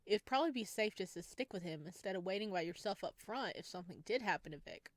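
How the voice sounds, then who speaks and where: A woman's voice: medium pitch, lively intonation, fast talker, dry recording. A woman playing a horror visual novel, reading its second-person narration aloud and adding asides to her viewers. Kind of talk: reading game text aloud with commentary.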